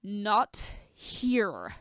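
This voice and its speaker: A woman's voice: mid-range voice, huge pitch swings, very slow, fairly bright tone, clean tone, dry recording, exaggerated voice. A woman voicing a grumpy, guarded male billionaire in a story reading, curt and sardonic. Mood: curt, irritated. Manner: curt, scolding, dry.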